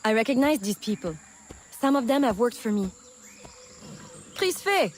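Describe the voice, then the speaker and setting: High-voiced bold, wry scout woman. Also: big pitch swings, moderate pace, slight background noise. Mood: wry, urgent. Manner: confident, wry, playful. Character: bold, sardonic female smuggler scout, teasing and brave. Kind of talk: video game character acting.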